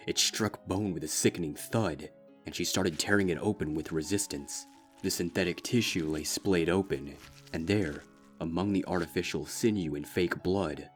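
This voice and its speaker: A man reading a dark fanfiction story aloud in a slow, grave, steady narrating style. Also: low voice. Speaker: fanfiction reader.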